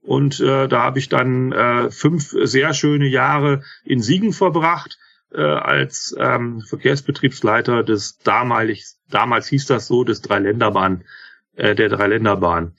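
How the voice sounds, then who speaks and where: A man in his fifties, guest on an interview podcast, talking haltingly with many filler sounds and self-corrections. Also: mid-range voice, gravelly, a bit bright, muffled recording. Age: 50s. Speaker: interview guest, rail manager. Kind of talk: casual spoken interview.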